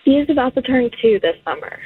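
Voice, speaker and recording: A woman in her thirties calling into a radio show, soft-spoken and giggly with a small, high voice. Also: animated, quick pace, breathy edge, no room sound. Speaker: radio caller. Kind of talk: casual phone chat. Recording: tinny phone line.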